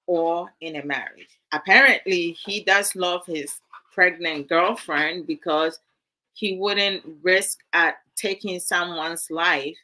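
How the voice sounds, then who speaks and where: A woman's voice: lowish voice, slow pace, pushed and bright. A woman from Ghana, giving casual commentary to her live viewers in a musing, hesitant way with many fillers. Her is Twi. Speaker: vlog host.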